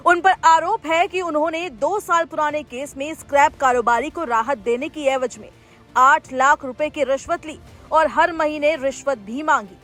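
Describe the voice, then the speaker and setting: Female news narrator, high voice, scripted bulletin delivery, light music bed underneath. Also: a bit bright. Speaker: news presenter. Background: background music.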